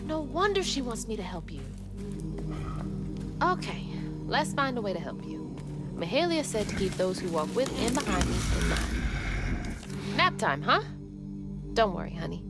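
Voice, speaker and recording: An actress playing a compassionate, determined young woman in a video game, informal and expressive. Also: medium pitch, measured pace, long pauses. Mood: tender, caring. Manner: expressive, informal, talking to herself. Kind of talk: video game cutscene acting and narration. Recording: loud music under the voice.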